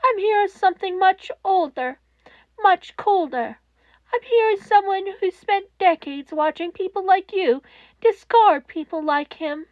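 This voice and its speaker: A woman voicing a small, stern old mouse principal in a fan-fiction reading, cold, polite and cutting as he makes deliberate accusations. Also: very high voice, lively intonation, dry recording, dull audio, voice pushed up. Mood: cold, menacing. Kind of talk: dramatic audiobook-style fan fiction character reading.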